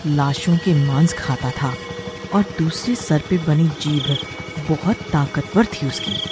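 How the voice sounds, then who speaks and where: A man narrating a scripted horror story dramatically, with suspenseful, drawn-out phrasing. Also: on the high side, over music.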